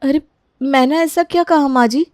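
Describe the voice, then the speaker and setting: A woman acts a timid young daughter-in-law in a cartoon tale. Her voice is high, apologetic and respectful, captured very dry without room sound. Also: moderate pace, darkish tone, no echo, natural voice. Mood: deferential. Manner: timid, deferential, pleading. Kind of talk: cartoon moral-story voice acting.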